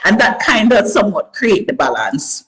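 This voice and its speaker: A woman's voice: mid-range voice, sing-song, normal speed, fairly bright tone, rough recording. A woman hosting an interview, affirming her guest and speaking to listeners directly. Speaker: host. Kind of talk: interview conversation.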